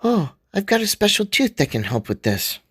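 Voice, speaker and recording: A man voicing an anxious young woman explorer in a radio drama, in a high, strained falsetto that tips into panic. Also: sing-song, moderate pace, a bit bright, slightly rough, no echo, clean studio sound, full character voice. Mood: reassuring.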